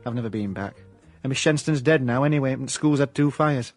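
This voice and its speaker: Deadpan Yorkshire ex-miner detective played by an actor. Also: male voice, mid-range voice, a little fast, dry recording, faint music behind, lightly acted. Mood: wry narrating. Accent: South Yorkshire. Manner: deadpan, dry. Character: hapless ex-miner turned amateur private detective, deadpan. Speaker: lead actor and narrator. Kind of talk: radio comedy drama.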